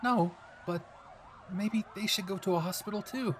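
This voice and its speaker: A man's voice: sits high, normal speed, a little hiss or hum, voice pushed up, natural voice. A man voicing an anxious, earnest young male hero who sounds startled and hesitant, in a dramatic audio roleplay. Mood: hesitant, concerned.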